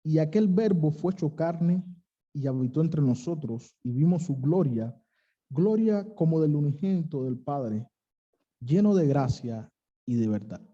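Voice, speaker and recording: A young man with a Cuban accent preaching an earnest sermon, pausing to stress key phrases. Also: fairly high pitch, lively intonation, mellow, dull audio. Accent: Cuban, western coastal. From Cuba.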